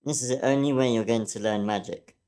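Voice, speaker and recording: A woman's voice: a bass voice, a little fast, a crowd in the background. A woman playing a tough, no-nonsense witch mentor, brusque and fearless, at a screenplay table read. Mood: dry, brusque.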